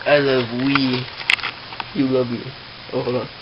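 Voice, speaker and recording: A woman's voice: a bass voice, flat delivery, unhurried. A young woman bantering casually as a vlog co-host, blunt and teasing in the way she talks. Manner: blunt, teasing, swearing, interrupting. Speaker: vlogger, co-host. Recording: muffled webcam-style audio with loud hiss.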